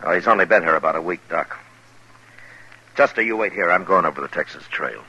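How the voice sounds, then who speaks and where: Western marshal, lonely lawman, deep and measured, on a dull, hissy old radio recording. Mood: probing. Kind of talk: 1950s radio western acting.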